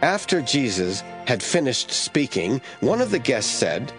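A man narrating scripture from a script as the storyteller of an audio drama, with a husky voice. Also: medium pitch, sing-song, measured pace, over music, poor audio.

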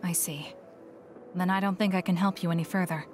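A woman's voice: on the low side, steady tone, fairly quick, darkish tone, soft background music, close to an everyday voice. Woman playing a cool, blunt young female soldier. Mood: matter-of-fact. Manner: curt, cool, matter-of-fact. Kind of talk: anime-style video game dubbing.

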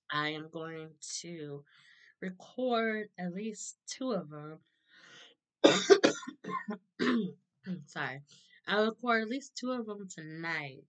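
A woman in her thirties with a hoarse, sore throat, chatting casually to a webcam, laughing often and clearing her throat. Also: fairly low pitch, lively intonation, extremely slow, long pauses, fairly bright tone, low-quality recording. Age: 30s. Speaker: vlogger. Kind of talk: casual chat to camera. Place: small room indoors.

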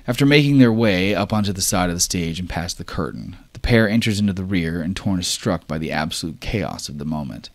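Game master, male, very low voice, lively intonation, dry close sound. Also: no room sound. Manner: descriptive, measured narration. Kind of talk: narrating a tabletop roleplay game.

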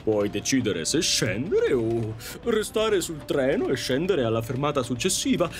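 A man's voice: mid-range voice, big pitch swings, a little slow. A man voicing a doting, pompous male fairy in an audio drama, with theatrical, effusive delivery. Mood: courteous, jovial. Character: flamboyant, doting, grandiloquent male fairy in the afterlife.

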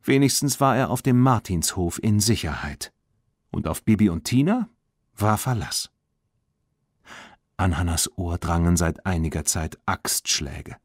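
A man reading a children's audiobook as narrator in an expressive storytelling manner. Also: sits low, measured pace, no echo, clean studio sound.

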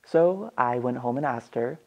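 A young man recounts his own story in an earnest, measured monologue. His voice has a gravelly fry and sits in a middle pitch. The delivery is steady and sincere. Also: muffled recording. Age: young adult. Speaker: student storyteller. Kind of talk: performed personal monologue. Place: empty seating room indoors.

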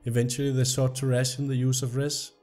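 A young man, slightly hoarse from illness, commenting on a game and reading its story text aloud. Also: mid-range voice, a little fast. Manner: reads the story text aloud in an even narrating tone. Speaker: gameplay video host. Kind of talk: casual gameplay commentary.

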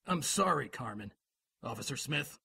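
A man's voice: mid-range voice, expressive, moderate pace, vocal fry, sharp tone, breathy edge, no room sound, heavily acted. A man playing a firm, dry-witted police lieutenant in a radio drama, blunt and official in manner.

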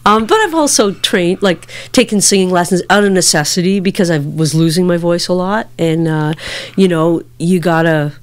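A woman's voice: fairly low pitch, normal speed, dry recording. A middle-aged woman from Toronto, a singer-songwriter guest chatting in a small studio. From Toronto, Canada. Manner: loud, lively storyteller. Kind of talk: casual chat. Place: small wood-panelled studio. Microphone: studio mic on stand.

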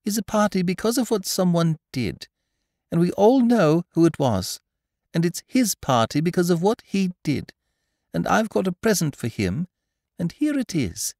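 A man's voice: high voice, very animated, moderate pace, a little mellow, no room sound, clean studio sound, pitched above the performer's own voice, heavily acted. A man voicing an eager, affectionate young boy in a storybook, declaiming with emphasis. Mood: announcing.

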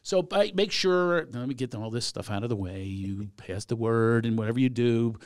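A middle-aged man hosting a podcast in a small studio, animated and quick to laugh, talking close to a boom mic. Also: smooth, no echo. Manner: animated, laughs often, does voices. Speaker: podcast host. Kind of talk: casual chat. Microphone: studio mic on boom arm, headphones.

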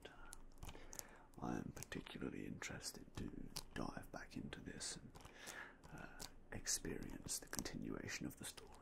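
Very deep, gravelly whispering man. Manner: soft, polite, unhurried shopkeeper talk with fillers. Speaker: ASMR roleplay host as game store clerk. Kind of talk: ASMR roleplay. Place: indoors in front of a game store backdrop. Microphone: binaural mic close to the face.

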